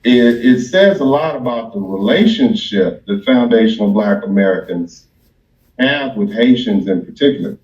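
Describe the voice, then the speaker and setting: A man's voice: fairly low pitch, lively intonation, moderate pace, darkish tone. Man in a dull, echoey recording. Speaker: commentator reacting to clips. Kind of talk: reaction commentary. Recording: dull, echoey, distant microphone.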